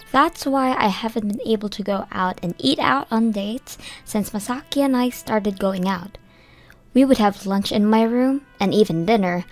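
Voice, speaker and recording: A woman acting as a gentle young wife, narrating her life in a scripted manga dub. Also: mid-range voice, lightly acted. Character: gentle grateful young married woman with allergies. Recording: background music under the voice.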